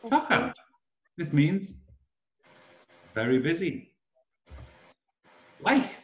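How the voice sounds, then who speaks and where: A man from Liverpool with a Scouse accent, teaching an online class for language learners and keeping his phrasing slow and simple as he quizzes the students. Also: on the high side, big pitch swings. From Liverpool, England. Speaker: English teacher on a video call. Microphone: video call. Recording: roomy video call audio.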